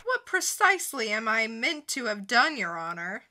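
A woman as a sardonic, high-voiced young defendant. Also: sing-song, normal speed, sharp tone, exaggerated voice. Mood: smug. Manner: sardonic, mock-polite, theatrical. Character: defiant, smug young goddess on trial. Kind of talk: comic book dramatic reading.

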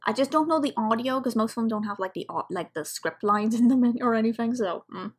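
Husky, highish woman reacting casually. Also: fairly quick. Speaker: reaction video host.

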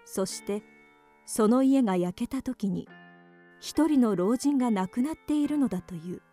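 Female narrator for a radio horror drama, higher-pitched, faint music behind. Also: lively intonation, moderate pace, mellow.

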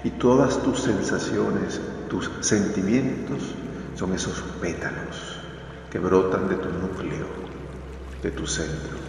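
Male guided-meditation narrator, unhurried and soothing, very echoey sound. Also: deep voice, fairly even intonation, creaky, background noise. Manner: soothing, deliberate, repeats phrases gently. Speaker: meditation guide, video host. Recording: cavernous long reverb over music. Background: music.

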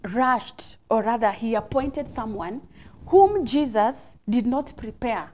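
Female talk show host, thirties, high pitch, soft mellow tone. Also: lively intonation, takes it slowly. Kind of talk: interviewing a panel on a studio talk show. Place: TV studio. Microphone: lapel mic.

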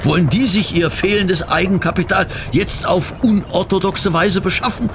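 An actor playing a fussy, incredulous gentleman sidekick in a radio play, sputtering and exclaiming indignant questions. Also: high-pitched, fairly even intonation, normal speed, noisy background. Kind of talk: German radio play acting.

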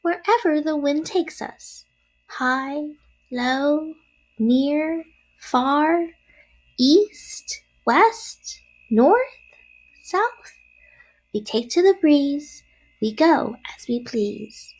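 A tiny baby girl spider as a woman reads her from a storybook. The little voice is very high and lilting, with a friendly, cheerful manner. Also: lively intonation, drawn-out words, pauses often, exaggerated voice, low-quality recording. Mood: carefree. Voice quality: small, tiny, airy voice. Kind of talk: storybook character voice.

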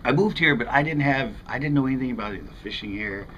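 A man's voice: medium pitch, fast talker, fairly bright tone, lo-fi sound, slight background noise. A middle-aged American man in his fifties, a fishing channel host talking casually to camera in a rambling, conversational way. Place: outdoors in front of a house window.

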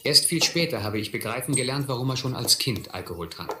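A middle-aged actor playing a reflective man who recalls his boyhood, narrating a radio play in measured, literary style. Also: mid-range voice, animated, natural voice. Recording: echoey old recording, faint noise.

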